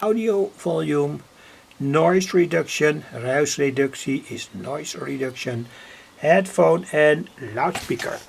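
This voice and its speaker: Slow, gravelly Dutch-accented man. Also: a little high, slight background noise. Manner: halting, deliberate, pausing mid-sentence. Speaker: electronics hobbyist presenter. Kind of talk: unscripted demonstration of a homemade device.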